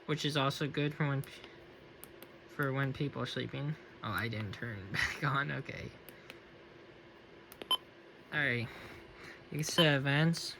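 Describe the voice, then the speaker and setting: A man's voice: mid-range voice, unhurried, gravelly, long pauses. A man giving a casual, unscripted product review and demonstration. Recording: faint background noise.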